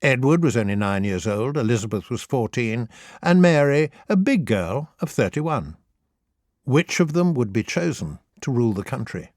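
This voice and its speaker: Expressive man narrating, dry close sound. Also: mid-range voice, normal speed, no room sound. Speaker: audiobook narrator. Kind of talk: scripted narration of humorous children's history.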